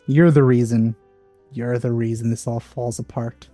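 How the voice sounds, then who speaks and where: A woman giving casual, deadpan, sardonic commentary while playing a video game. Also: rumbling low, moderate pace, no echo, faint music behind. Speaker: let's play commentator.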